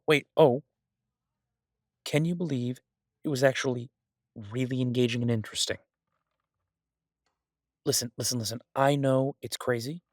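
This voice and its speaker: A man's voice: mid-range voice, a little mellow, no echo, close to an everyday voice. Dry, close-sounding restless mafia boss, acted by a man. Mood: enthusiastic. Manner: conversational, exasperated, swearing, playfully dramatic. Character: male mafia boss, doting, restless, stuck baking in a safe house. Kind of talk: audio roleplay, one side of an intimate phone conversation.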